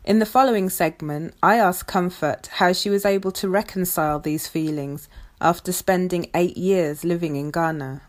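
A woman host reading a calm, measured, scripted introduction to the next segment of an interview podcast. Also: lowish voice.

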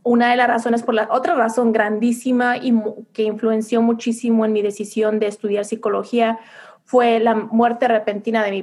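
A middle-aged woman with a high voice tells her story as a guest. She speaks earnestly and reflectively, often restarting her phrases. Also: darkish tone. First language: Spanish. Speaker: psychologist and coach, guest. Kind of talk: conversational interview, personal storytelling.

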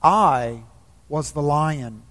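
A vast, wise lion speaks low and unhurried, each word weighty and hushed, as a preacher reading aloud puts him on. His voice drops well below the reader's own and rolls through a large, echoing hall. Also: expressive, exaggerated voice. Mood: solemn. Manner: slow, solemn, hushed. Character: great wise male lion, calm and commanding. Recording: long hall reverb.

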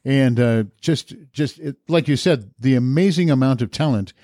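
A man's voice: medium pitch, moderate pace, mellow, no echo, clean studio sound. An American man hosting a podcast in a conversational interview style. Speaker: podcast host.

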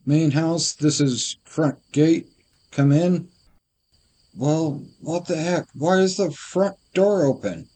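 A man playing a polite, folksy gate guard with a deliberate way of talking in an audio drama. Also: a little high, mellow, slight background noise. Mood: puzzled, wary.

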